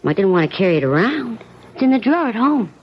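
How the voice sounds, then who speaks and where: An actress playing a conniving, cheating wife, coaxing in manner, in a radio drama. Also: female voice, on the high side, big pitch swings, fast talker, husky, muffled recording. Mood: coaxing, scheming. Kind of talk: 1970s radio drama acting.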